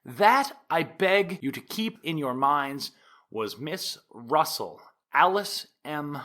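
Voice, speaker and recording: A man playing an earnest 1890s prosecutor who delivers a formal courtroom opening to a jury in deliberate, emphatic phrases, as in an audio drama. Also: a little high, expressive, pushed and bright, heavily acted. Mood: solemn, earnest.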